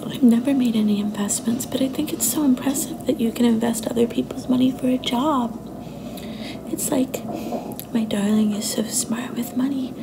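Woman acting young girlfriend, affectionate, a bit possessive, soft whispery ASMR delivery, gravelly creak, noisy ambient recording. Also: on the high side. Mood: soft, musing. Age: young adult. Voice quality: soft, often breathy and whispery.

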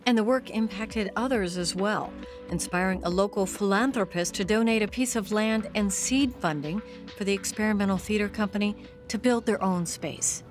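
A woman giving a measured, scripted documentary narration. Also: mid-range voice, soft background music. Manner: smooth, measured.

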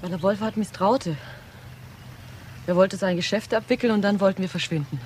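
A woman's voice: mid-range voice, moderate pace, darkish tone, close to an everyday voice. Woman acting a nervous gangster's girlfriend getting questioned, crime radio play, bit of noise. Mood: anxious, defensive. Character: anxious woman under questioning, a gangster's mistress. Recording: radio drama with background ambience noise.

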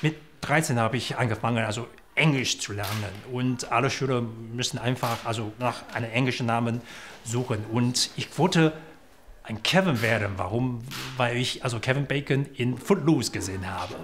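Mann mit taiwanischem Akzent, zögernd. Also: male voice, medium pitch, moderate pace, a bit bright, slight background noise. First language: Mandarin. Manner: hesitant, many fillers and restarts. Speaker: writer. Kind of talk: documentary interview. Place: small restaurant indoors.

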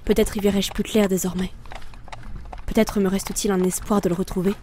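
A woman's voice: medium pitch, flat delivery, brisk, a little mellow, slight background noise, natural voice. An actress playing a weary, brooding female reaper of souls in an introspective audio drama narration. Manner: solemn, introspective.

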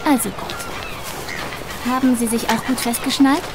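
A woman's voice: fairly high pitch, fairly even intonation, normal speed, close to an everyday voice. An actress playing an anxious, devoted young female carer, earnest and hesitant, in an audio drama. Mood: worried. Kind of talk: German audio drama acting. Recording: noisy ambience behind.

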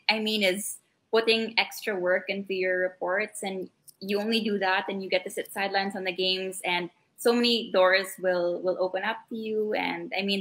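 A young woman with a Filipino accent talks in an earnest, reflective way. Her voice is bright and forward. She is heard through a laptop webcam mic on a video call from a small room. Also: medium pitch, low-quality recording. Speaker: guest, former courtside reporter. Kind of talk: casual video-call interview.

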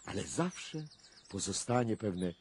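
A man acting in a 1990s Polish radio drama as a wry, philosophical investigator, with theatrical, deliberate phrasing and dramatic pauses. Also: fairly low pitch, sing-song, husky, exaggerated voice. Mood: musing.

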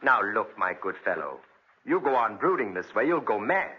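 An actor playing a worldly spendthrift gentleman in prison, breezy and sardonic, in 1940s radio drama style. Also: lowish voice, very animated, moderate pace, husky. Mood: brisk, advising. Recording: muffled 1940s radio recording.

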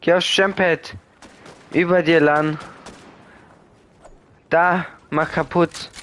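A man chatting in slang during an online game over a headset, giving orders and turning loud when excited. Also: on the high side, takes it slowly. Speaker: gamer streaming with a friend. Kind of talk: casual gaming chat with slang.

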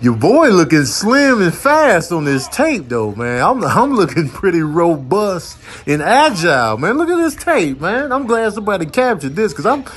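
A man plays a cocky, aggrieved bull in a comic animal voiceover. He boasts and protests in slangy street talk. His pitch runs fairly high and swings about freely. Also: sing-song, moderate pace, hardly any pauses, a bit bright. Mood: boastful.

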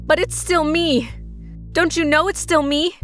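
A woman's voice: high voice, fairly even intonation, unhurried, fairly bright tone. A young woman voicing an intense, insistent young woman with wounded pride in a dramatic comic dub. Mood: pleading. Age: young adult. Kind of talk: dramatic dialogue. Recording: music behind the voice.

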